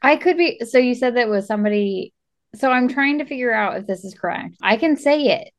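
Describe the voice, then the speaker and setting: A woman's voice: on the high side, animated, a little fast. A woman chatting casually as a podcast co-host and quiz contestant. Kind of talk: casual chat.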